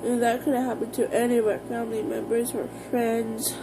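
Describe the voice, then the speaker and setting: An American woman talking casually to her viewers in an informal, halting, rambling vlog style. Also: a little high, flat delivery, music in the background, poor audio. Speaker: vlogger.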